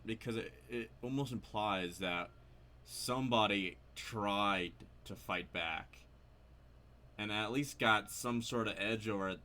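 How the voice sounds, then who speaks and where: A man's voice: on the low side, bright, forceful tone. A man giving casual, unscripted game commentary, hesitant and rambling as he thinks aloud. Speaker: video creator commenting on a horror game.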